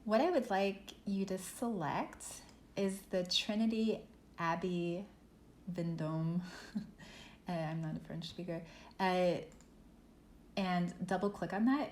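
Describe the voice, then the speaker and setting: Female workshop instructor, lowish voice, relaxed tutorial delivery with fillers, roomy echo and faint hiss. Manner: relaxed, thinking aloud with fillers. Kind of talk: software tutorial lesson. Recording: echoey room with faint noise.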